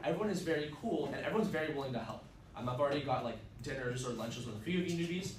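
Fast-talking young man in an echoey classroom. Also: medium pitch, animated. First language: Russian. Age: young adult. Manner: upbeat, chatty, many fillers. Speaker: student club presenter. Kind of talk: casual presentation. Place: classroom, indoors, mid-sized. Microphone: far camera. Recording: roomy, distant, faint ambient noise.

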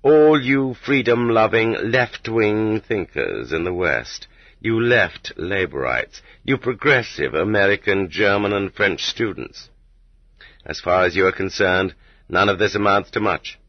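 Husky low-voiced male audiobook narrator. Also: lively intonation, no room sound. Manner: measured, dramatic delivery with rhetorical emphasis. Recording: slightly muffled, dull cassette audiobook.

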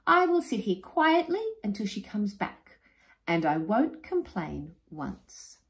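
A woman's voice: very animated, takes it slowly, a little hiss or hum. A woman voicing a pompous, lazy male emu father in a storybook reading, blustering and spluttering. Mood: boastful. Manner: blustering, high-pitched spluttering when upset. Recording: roomy echo.

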